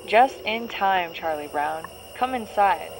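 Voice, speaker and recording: A woman playing a secretive girl in an amateur horror cartoon, with stilted, drawn-out delivery. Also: mid-range voice, lively intonation. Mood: stilted invitation. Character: secretive cartoon girl hosting a sinister dinner. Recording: noisy lo-fi animation audio.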